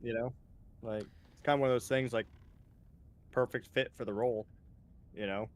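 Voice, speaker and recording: A man's voice: mid-range voice, steady tone, normal speed. A man chatting with a friend on a game stream, rambling with lots of fillers and laughing often. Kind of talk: casual gaming chat.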